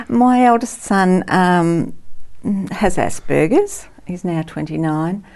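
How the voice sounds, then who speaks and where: Woman in her sixties with a gravelly voice. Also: fairly low pitch, animated, slow, a little hiss or hum. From Netherlands, raised in Australia. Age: 60s. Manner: warm, reflective, frequent ums and soft laughs. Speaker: guest. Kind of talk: TV studio interview.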